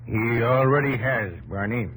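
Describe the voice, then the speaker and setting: An actor playing a stern, commanding vigilance committee leader in a 1950s radio drama. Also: male voice, medium pitch, a little slow, a bit bright, husky, rough recording. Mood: calm. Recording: old radio broadcast with hiss.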